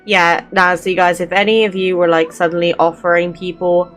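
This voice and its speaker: A woman's voice: fairly low pitch, level tone, normal speed, soft background music. A woman giving casual commentary over a video game playthrough. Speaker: gaming video host. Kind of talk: casual let's-play commentary.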